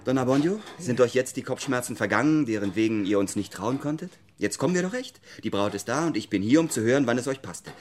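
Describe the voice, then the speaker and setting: An actor playing an eager young village bridegroom in a radio drama, earnest and impatient. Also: male voice, medium pitch, expressive, fast talker, few pauses, natural voice. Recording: radio play with music behind.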